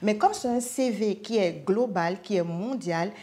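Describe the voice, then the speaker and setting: A woman from Africa, a guest in a podcast chat, talking in an emphatic, punchy, animated way. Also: mid-range voice, measured pace. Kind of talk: casual chat.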